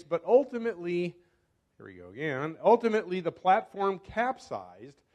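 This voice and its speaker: An older man, a scientist, giving a casual talk at a club meeting, often correcting himself and pausing. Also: high-pitched. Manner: chatty, self-correcting, fumbles with clicker. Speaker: guest speaker, scientist. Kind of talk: informal lecture. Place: meeting hall. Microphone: handheld or podium microphone.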